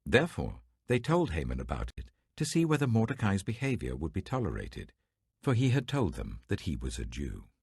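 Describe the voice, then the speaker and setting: Low husky guy reading the bible, measured and dramatic, dry close sound. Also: wildly swooping pitch, no echo. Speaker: Bible reader. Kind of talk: scripted scripture reading.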